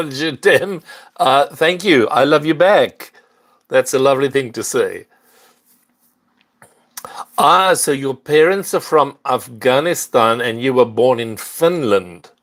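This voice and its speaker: Older South African-born man, livestream host, earnest and unhurried delivery. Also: mid-range voice. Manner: unhurried, earnest, warm greetings to viewers. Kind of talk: casual livestream chat with viewers.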